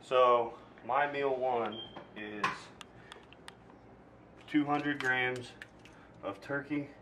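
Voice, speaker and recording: An American man in his thirties vlogging casually indoors, pausing mid-sentence as he talks into a camera mic. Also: medium pitch. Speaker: bodybuilder vlogger. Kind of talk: casual vlog talk to camera. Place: indoors, gym and home rooms. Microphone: camera mic at some distance. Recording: roomy, distant camera mic, clear background noise.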